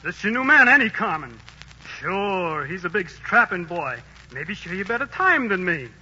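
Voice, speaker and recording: An actor playing a jealous, desperate young soldier in a 1940s radio drama, bitter and quick to anger. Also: male voice, sits high, big pitch swings, pushed and bright, a little hiss or hum, full character voice. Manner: bitter, hot-tempered, breaks into anger. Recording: dull old radio broadcast.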